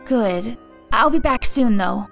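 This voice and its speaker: A woman acting a calm, practical, caring elf in an audio drama. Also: on the high side, slow pace, gravelly, faint music behind, heavily acted. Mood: brisk.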